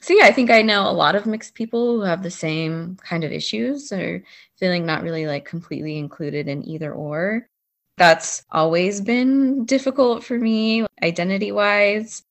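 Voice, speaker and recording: A woman's voice: low voice, vocal fry. A young woman speaking as a panel guest in a casual, reflective discussion, thoughtful and a little hesitant. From United States. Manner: thoughtful, hesitant, many "I think"s.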